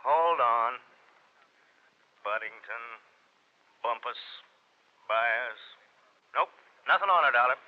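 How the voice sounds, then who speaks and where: A man's voice: medium pitch, slow, long pauses, close to an everyday voice. An American actor playing a jocular, needling police lieutenant in a 1940s radio drama, drawing out his teasing punch words. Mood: dryly informative. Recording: muffled vintage radio, telephone sound.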